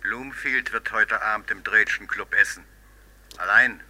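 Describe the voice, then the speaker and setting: An actor playing a furtive anonymous informant making a call in a crime radio drama. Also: male voice, on the low side, steady tone, measured pace, crowd noise, lightly acted, low-quality recording. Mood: furtive, tense.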